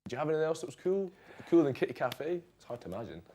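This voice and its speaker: Fast-talking young male reporter. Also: on the high side, very animated, dark tone. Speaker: student reporter. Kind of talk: casual presenting.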